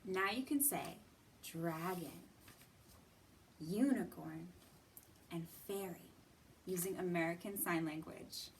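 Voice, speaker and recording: Lady doing kids storytime, talks real slow and encouraging, mic is kinda far off with noise. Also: lowish voice. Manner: deliberate, encouraging, asks questions and pauses. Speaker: library storytime host. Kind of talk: children's lesson and read-aloud. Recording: distant mic with clear background noise.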